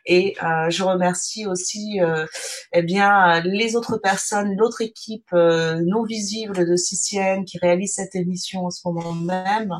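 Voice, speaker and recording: A woman's voice: lowish voice, fairly even intonation, measured pace. A woman hosting a radio show, closing it with thanks and many fillers. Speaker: show host. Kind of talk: casual radio interview.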